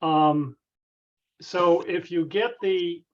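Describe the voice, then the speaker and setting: A man with a slightly high voice talks over a dull, lo-fi conference connection. He explains a technical matter casually, haltingly and tentatively, circling back as he goes. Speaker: club member asking a technical question. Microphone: conference call connection. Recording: dull, band-limited conference call audio.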